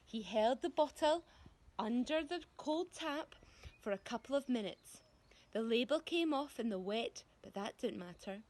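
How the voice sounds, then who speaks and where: Woman storyteller, higher voice, unhurried pace, dramatic emphasis, expressive reading for children. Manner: dramatic, deliberate emphasis on descriptive words.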